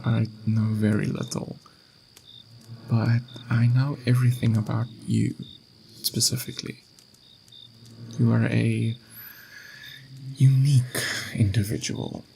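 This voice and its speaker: A man playing a patient, gentle, mysterious ageless being in an ASMR roleplay, speaking in an unhurried, philosophical, reassuring way with a gravelly, creaky voice. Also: mid-range voice, a little hiss or hum, low-quality recording. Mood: calm, knowing. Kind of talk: ASMR roleplay, slow intimate monologue.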